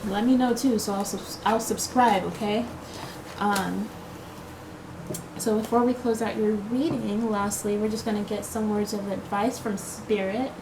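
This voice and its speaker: A woman reads tarot cards for her viewers in a casual, reassuring ramble, leaning on fillers and "okay?" tags. Her pitch sits in an ordinary middle range. There is clear background noise behind her voice. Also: steady tone, a little slow. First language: English. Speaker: tarot card reader.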